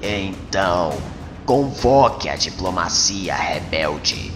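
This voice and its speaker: A man voicing a solemn, menacing alien commander in a motion comic dub, with formal, grandiose, deliberate delivery. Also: deep voice, expressive, voice pushed down. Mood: commanding, grandiose. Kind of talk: comic book dub acting. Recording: long cavernous reverb over music.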